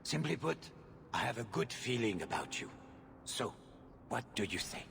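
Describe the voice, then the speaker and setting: An actor playing a shrewd, smooth-talking mine owner in video game cutscene dialogue. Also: male voice, medium pitch, very animated, normal speed, background noise. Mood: businesslike. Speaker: game character.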